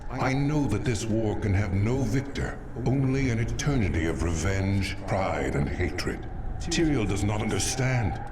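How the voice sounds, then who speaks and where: An actor playing a disillusioned, bitter angel war hero in a solemn video game monologue. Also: male voice, on the low side, expressive, measured pace, noisy background. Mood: bitter, brooding. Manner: solemn, brooding declamation.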